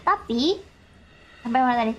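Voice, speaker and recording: A woman's voice: a little high, lively intonation, brisk, dull audio, background noise. A woman with an Indonesian accent on a casual livestream, chatting and reading game text aloud, sometimes stumbling over words and repeating herself. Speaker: livestreamer playing and reading a visual novel.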